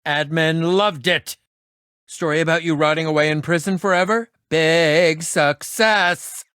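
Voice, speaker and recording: Eccentric self-styled ninja, pompous and wordy, dramatic game character, unhurried pace, close dry sound. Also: male voice, a little high, fairly even intonation, bright, forceful tone, clear, resonant tone, no room sound. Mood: gleeful. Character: eccentric, theatrical, self-important man who fancies himself a ninja. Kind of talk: animated video game voice acting.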